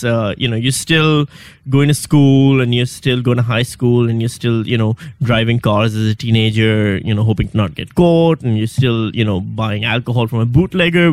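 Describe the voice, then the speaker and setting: A man's voice: mid-range voice, a little fast, dry recording. A man in his late thirties from Pakistan, a guest comedian being interviewed, talking in a wry, self-mocking way with lots of ums. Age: 30s.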